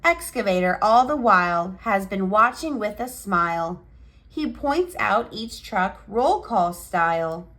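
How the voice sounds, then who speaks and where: A woman reading a picture book aloud for young children in an expressive, cheerful and measured storytelling manner. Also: medium pitch. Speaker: children's picture book reader and channel host.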